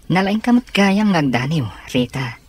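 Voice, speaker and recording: An actor playing a kind, sincere young suitor in an Ilocano radio drama, with an Ilocano accent. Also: male voice, fairly high pitch, animated, slow pace, breathy edge, exaggerated voice. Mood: playful, romantic.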